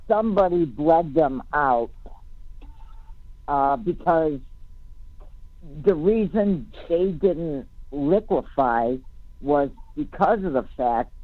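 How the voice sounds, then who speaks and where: Man, unhurried and deliberate, slightly high voice, discussion over a phone conference line. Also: no echo. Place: telephone conference call. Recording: phone-like narrowband conference call.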